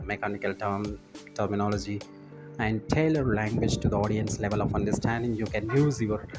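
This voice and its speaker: A man giving an instructional lecture, explaining points in a didactic, halting way and repeating key phrases. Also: lowish voice. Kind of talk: lecture explaining presentation slides. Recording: music behind the voice. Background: background music.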